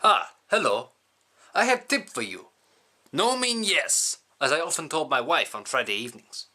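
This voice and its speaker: A young man performs a self-styled wandering samurai who rambles in a flat, deadpan way at a middling pitch. He breaks into sudden crude outbursts. The recording is outdoors, with loud street and traffic noise behind him. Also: brisk. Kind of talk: improvised character comedy to camera.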